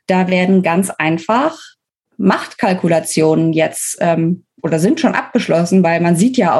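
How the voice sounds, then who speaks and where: A woman speaking as an interview guest, giving opinionated, explanatory political analysis in a conversational way, pausing between phrases. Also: on the low side, darkish tone, husky.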